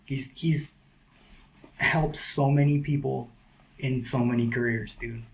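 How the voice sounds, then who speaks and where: A man's voice: medium pitch, takes it slowly, gravelly, poor audio. A man who is a guest skateboarder, talking casually and loosely on a podcast. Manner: relaxed, slangy, lots of "like" and "dude".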